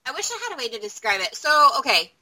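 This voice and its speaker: A woman's voice: high-pitched, sing-song, quick pace, bright, forceful tone. A woman in her thirties chatting casually as a podcast co-host, telling stories dramatically and swearing freely. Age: 30s. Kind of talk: casual chat, animated storytelling.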